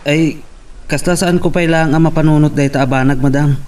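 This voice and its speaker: A man's voice: a little high, fairly even intonation, brisk, a little mellow, recorded from a distance, close to an everyday voice. A man with an Ilocano accent playing a hesitant, deferential employee in an amateur audio drama.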